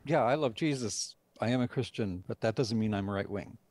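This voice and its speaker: A man's voice: on the low side, moderate pace, a little mellow. An older man speaking as a writer and director in an interview over a group video call. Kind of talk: interview discussion of a radio drama.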